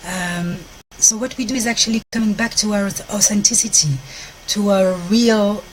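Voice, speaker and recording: A Belgian woman speaking English with a French accent, hosting a live stream outdoors in a park and pausing often to find her words. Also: mid-range voice, a little hiss or hum, rough recording. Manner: searches for words, frequent restarts, soft gentle delivery. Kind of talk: live-stream talk to viewers.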